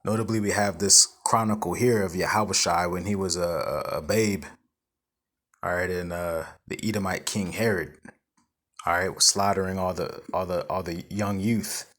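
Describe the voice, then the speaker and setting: A man teaching from scripture in a loose, halting way, reading verses slowly and adding casual asides. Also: deep voice, steady tone, fairly bright tone. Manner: drawn-out scripture reading with filler asides like "all right" and "man"; halting, repeats words while searching for them. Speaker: Bible teacher.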